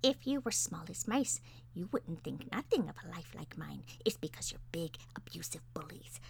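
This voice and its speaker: A woman's voice: lowish voice, sing-song, normal speed, vocal fry, darkish tone, whispered. A woman voicing a small, vengeful male parakeet in a storybook tale, scolding and squawking melodramatically. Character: indignant, vengeful talking male pet parakeet, small and shrill.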